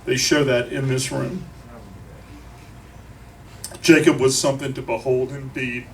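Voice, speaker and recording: A grieving man delivers an emotional eulogy to a crowd, speaking deliberately, with profanity and wry humor. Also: medium pitch, flat delivery, vocal fry. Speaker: eulogist at a memorial. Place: crowded bar at a memorial. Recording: noisy live room, distant mic.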